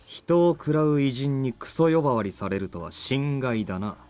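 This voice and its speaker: Man playing a quick-talking, cool confident fighter. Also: mid-range voice, close to an everyday voice. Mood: dry, approving. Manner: calm, cocky, unhurried by danger. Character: cool, confident tall male fighter ally. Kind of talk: Japanese visual novel voice acting. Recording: music under the voice.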